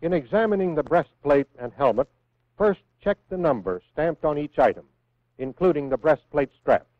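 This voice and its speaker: An American man reading scripted instructions in a measured, clearly enunciated way, as the narrator of a 1960s military training film. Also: fairly high pitch, animated, no room sound. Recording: phone-like vintage 1960s film soundtrack.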